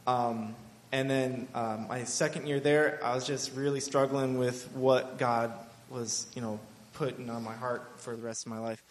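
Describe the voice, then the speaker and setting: Young guy from michigan telling his testimony, earnest, kinda halting, lots of ums. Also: mid-range voice, level tone, slight background noise. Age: 20s. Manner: earnest, halting with many fillers. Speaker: Bible school student. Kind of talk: personal testimony.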